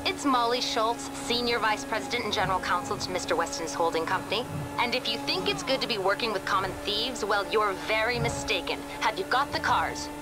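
A woman's voice: mid-range voice, animated, fairly quick, close to an everyday voice, poor audio. A woman playing a haughty, icy corporate lawyer in a video game, curt and disdainful. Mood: cold, curt. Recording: loud music behind.

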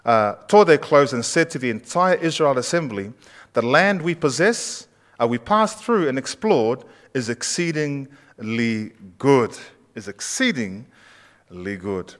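A man's voice: mid-range voice, animated, unhurried, a bit bright. A man in his thirties preaching a conversational sermon in a church sanctuary, asking the congregation questions as he goes. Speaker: pastor. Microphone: far camera at back of church.

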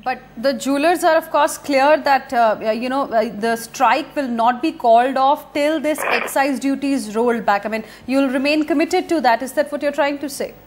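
A woman's voice: sits high, moderate pace, few pauses, a little hiss or hum. A woman anchoring business news from a TV studio, probing a guest and rephrasing her questions.

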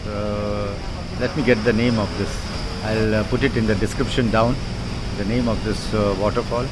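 A man's voice: lowish voice, fairly even intonation, moderate pace. A man, chatting casually to viewers and pointing out the sights as he travels by bus and then walks along a street. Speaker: travel vlogger. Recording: loud road and engine noise.

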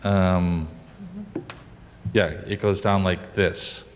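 A man's voice: low voice, flat delivery, very slow. An older man presenting a lot plan to a planning board in a council chamber, speaking into a handheld mic with many fillers and restarts. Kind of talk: explaining a lot plan at a public meeting. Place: council chamber with wood paneling. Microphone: handheld mic, walking around. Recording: echoey chamber with light noise.

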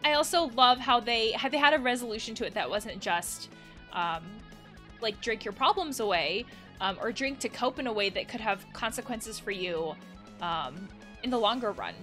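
A woman's voice: fairly high pitch, animated, moderate pace, fairly bright tone, soft background music. A woman in her thirties giving casual commentary as a therapist playing a game. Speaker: host, therapist playing games. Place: small indoor room.

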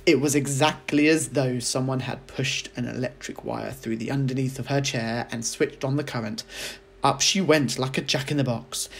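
A man, a teacher reading a children's audiobook aloud as an expressive storyteller. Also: medium pitch, moderate pace, creaky, a little hiss or hum.

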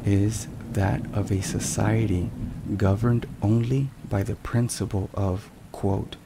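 A man narrating scripted religious ASMR in a deliberate, measured way. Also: lowish voice, creaky, background noise. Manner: slow, deliberate, measured phrasing. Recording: steady rain and thunderstorm sounds behind. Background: rain and thunder.

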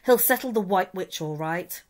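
A woman's voice: medium pitch, animated, normal speed, darkish tone, natural voice. A woman voicing a kindly male beaver who speaks earnestly and urgently.

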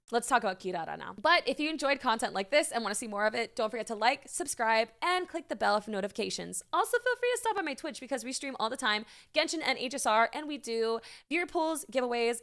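High, animated young woman streamer. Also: a little fast, gravelly. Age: young adult. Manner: chatty, gushing. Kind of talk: casual reaction commentary to stream viewers. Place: small home streaming room, indoors. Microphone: desk microphone on a boom arm.

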